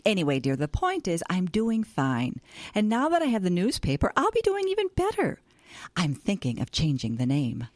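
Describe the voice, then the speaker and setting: Breezy, animated older hippie grandmother. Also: on the low side, moderate pace, dry recording. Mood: cheerfully unbothered. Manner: theatrical, airy, wry. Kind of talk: audio comedy drama acting.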